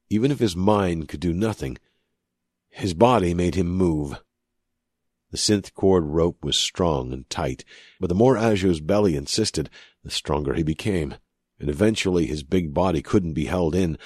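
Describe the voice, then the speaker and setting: A man's voice: very deep voice, darkish tone, breathy edge, dry recording, studio quality. A man narrating scripted fiction as an audiobook reader.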